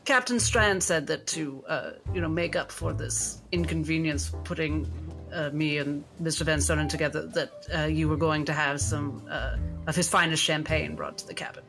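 A woman's voice: low voice, fairly even intonation, moderate pace, fairly bright tone, in a large hall, faint music behind. A woman voicing a shrewd, composed lady in a tabletop roleplay, poised and coaxing. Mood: sly. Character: shrewd, composed lady passenger with psychic powers.

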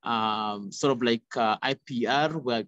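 Young male panelist, mid-pitched, muffled dry video call sound. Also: unhurried, a bit bright, no echo. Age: young adult. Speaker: youth panelist. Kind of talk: panel discussion answer. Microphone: phone at arm's length on video call. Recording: dull, lo-fi video call audio.

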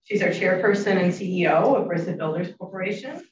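A woman moderating a panel discussion, introducing the speakers in a brisk, businesslike way. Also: fairly low pitch, music in the background. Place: conference room. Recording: roomy, muffled sound from a distance with steady noise.

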